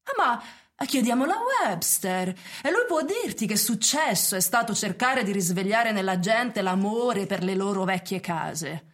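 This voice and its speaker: A woman's voice: mid-range voice, lively intonation, unhurried, lightly acted. A woman playing a hard-line, impatient police chief in a radio drama, arguing insistently and scornfully. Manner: insistent, argumentative.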